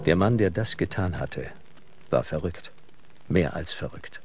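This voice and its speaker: A man with a husky voice delivering scripted narration as the narrator of a radio drama. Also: deep voice, animated, measured pace, over music.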